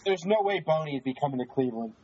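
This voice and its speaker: Man, casual podcast chat, dry and reluctant, slightly high pitch, dull lo-fi recording. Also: lively intonation, very fast, darkish tone, slightly rough. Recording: dull lo-fi sound.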